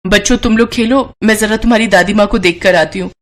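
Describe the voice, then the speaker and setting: A woman voicing a young Indian housewife and mother in a cartoon story, caring but harried, with a brisk, nagging manner. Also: medium pitch, close to an everyday voice. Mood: worried. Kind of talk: Hindi cartoon moral story acting.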